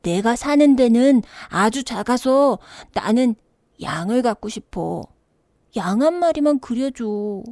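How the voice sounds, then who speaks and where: A small, mysterious storybook boy, acted out by a woman, speaks in a high, childlike voice. He draws his phrases out very slowly, gentle but insistent. The sound is close and dry. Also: animated, no room sound. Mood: gentle insistence. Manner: slow, childlike, drawn-out phrases.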